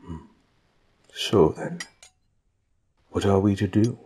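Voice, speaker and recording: A man playing a patient, gentle older king in an audio drama, with deliberate, formal diction. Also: lowish voice, slightly rough, full character voice. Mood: fond, thoughtful.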